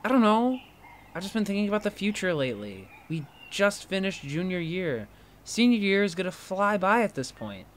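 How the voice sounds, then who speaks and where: A man's voice: high voice, normal speed. Man acting inquisitive, teasing college student, noisy background. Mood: pensive. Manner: prodding, animated, incredulous. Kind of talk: fiction podcast acting.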